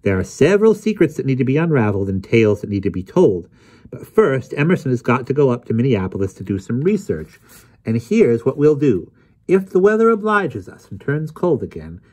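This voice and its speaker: A man's voice: on the high side, darkish tone, voice pushed up, lightly acted. A man voicing a spirited, chatty, eccentric woman librarian with a teasing, exclamatory manner in an audiobook story.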